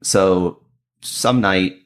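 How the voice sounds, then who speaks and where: A man with a deep voice, a comedian and lawyer telling a self-deprecating story as a podcast guest. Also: drawn-out words, a bit bright. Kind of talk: casual storytelling.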